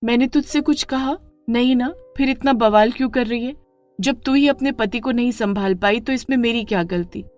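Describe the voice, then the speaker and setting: Woman playing sly, high-voiced rival friend. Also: normal speed, a little mellow, soft background music, lightly acted. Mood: defiant. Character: caring, sly young woman friend Mukta, coolly stealing a husband. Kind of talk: melodramatic Hindi moral story acting.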